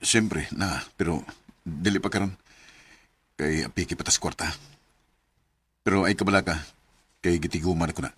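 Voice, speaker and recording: A man's voice: sits low, normal speed, pauses often, fairly bright tone. An actor playing a troubled, doubting young father in a radio drama, with a Cebuano accent. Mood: reassuring. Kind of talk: Filipino radio drama acting. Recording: clear hiss and noise behind voice.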